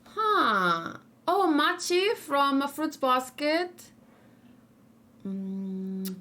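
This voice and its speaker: Young woman, live streamer, casual rambling chat, fillers, slightly high voice, excited gasps. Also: drawn-out words. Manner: chatty, rambling, frequent "um" and "like", gasps with delight. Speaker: streamer reacting to game character.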